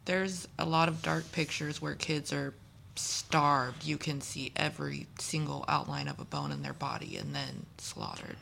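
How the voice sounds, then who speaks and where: Gravelly-voiced woman co-hosting. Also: deep voice, fairly even intonation, measured pace, a bit bright. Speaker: co-host, host's wife. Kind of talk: casual chat.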